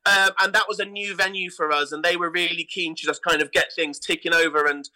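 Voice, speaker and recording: A man's voice: on the high side, fairly even intonation, fairly quick, pushed and bright, rough recording. A British man speaking enthusiastically and conversationally as a guest in an interview about his work. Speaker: guest, artistic director of a pantomime theatre company.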